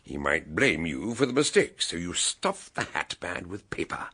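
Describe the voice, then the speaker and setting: An actor playing a shrewd, commanding older British gentleman detective in a radio drama, booming and declamatory. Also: big pitch swings, moderate pace, slightly rough, full character voice. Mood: accusing, triumphant.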